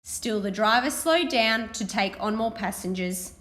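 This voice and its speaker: A woman teacher giving a read-aloud lesson to young children, with expressive, deliberate delivery. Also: mid-range voice. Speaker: primary school teacher.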